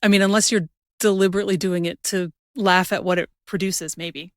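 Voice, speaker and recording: A woman's voice: mid-range voice, fairly even intonation, brisk, dry recording, studio quality. A woman hosting a podcast, talking in a casual, conversational interview style. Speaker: podcast host.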